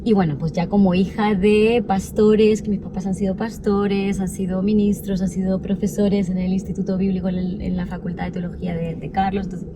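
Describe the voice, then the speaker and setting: A woman in her forties, born in El Salvador, tells her personal story in an earnest, reflective way. Her voice sits at a middle pitch. Steady ambient noise runs behind her. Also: brisk, few pauses. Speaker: interview guest. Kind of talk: personal testimony in conversation. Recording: noisy background ambience.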